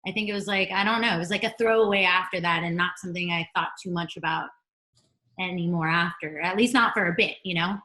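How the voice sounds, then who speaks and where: A woman's voice: lowish voice, quick pace, bright, forceful tone. A woman, a former child actor, chatting casually at a cast reunion on a video call. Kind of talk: casual chat.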